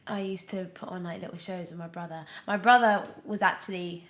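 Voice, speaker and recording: A young British woman chatting casually as a podcast guest, telling stories in an animated way peppered with "like". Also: lowish voice, brisk, slight background noise. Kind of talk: casual interview chat. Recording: roomy, echoey sound.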